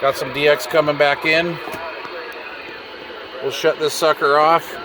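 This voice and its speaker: A man's voice: on the high side, level tone, unhurried. A middle-aged man chatting casually off the cuff in a laid-back, folksy, slangy way, as a hobbyist vlogger. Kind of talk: casual off-the-cuff outdoor vlog and radio chat. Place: outdoors on a hillside road by his vehicle. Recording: windy outdoor noise with vehicle sounds.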